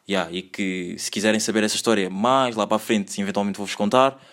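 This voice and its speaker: Rambling young Lisbon-accented man, low voice. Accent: Lisbon Portuguese. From Lisbon, Portugal. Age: 20s. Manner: rambling, slangy, full of filler words. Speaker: podcast host. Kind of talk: solo casual podcast monologue.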